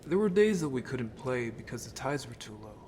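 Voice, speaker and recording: Man acting an earnest, emotional merman, mid-pitched. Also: sing-song, a little fast, darkish tone, slight background noise, natural voice. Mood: shaken, wistful. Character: earnest, sensitive merman haunted by childhood friendship. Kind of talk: audio drama acting.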